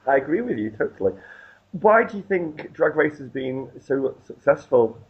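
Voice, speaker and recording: A man's voice: fairly high pitch, big pitch swings, moderate pace, breathy edge, muffled recording. A man hosting a radio interview, asking questions and agreeing easily, often ending with tag questions. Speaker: radio host.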